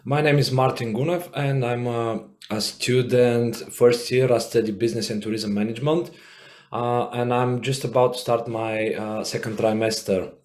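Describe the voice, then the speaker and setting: A man from Bulgaria gives a friendly self-introduction as a first-year student. His voice sits at a middle pitch, and he often pauses with "uh". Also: fairly even intonation, darkish tone. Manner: friendly, with frequent "uh" fillers.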